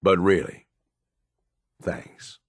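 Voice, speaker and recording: An actor playing a roguish, cynical but loyal raider in a video game, with a folksy drawl. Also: male voice, low-pitched, lively intonation, very slow, vocal fry, slightly rough, dry recording, studio quality, heavily acted. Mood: awkward, sincere. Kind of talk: video game companion dialogue.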